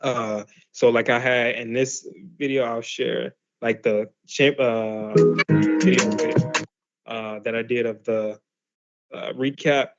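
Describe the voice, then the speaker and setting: A man's voice: mid-range voice, steady tone, slow, muffled recording, over loud music. A man teaching a class in a loose, conversational way, full of fillers and restarts. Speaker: class instructor. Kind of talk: casual explanatory lesson.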